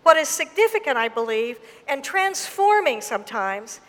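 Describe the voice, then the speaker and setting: A woman pastor with a Southern Mississippi accent delivers a sermon in a high voice. She tells her story slowly and with emphasis. Her voice carries a long reverb, as in a church gym. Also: sing-song. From Mississippi, USA.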